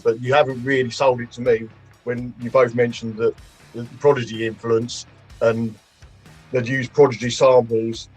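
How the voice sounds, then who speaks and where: A man's voice: medium pitch, darkish tone, husky, muffled recording. A man co-hosting a casual music discussion in a sceptical, hesitant way. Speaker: music reaction show co-host.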